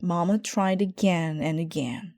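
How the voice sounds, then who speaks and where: A woman narrates a children's storybook with measured expression. Her voice is low and mellow, unhurried, and recorded very dry. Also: no echo. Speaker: storybook reader. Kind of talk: children's story read aloud.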